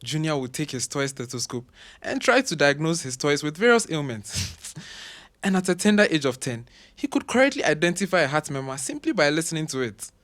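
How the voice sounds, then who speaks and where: An actor playing an older professor father in an audio drama, proud and dismissive, with a pompous, sometimes halting delivery. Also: a little high, sing-song, a bit bright.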